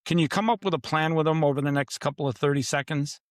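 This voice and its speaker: Brief: male podcast guest with a fairly high voice, confident and emphatic, dry close sound. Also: fast, no echo. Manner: emphatic, confident, repeats "literally". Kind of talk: casual podcast interview.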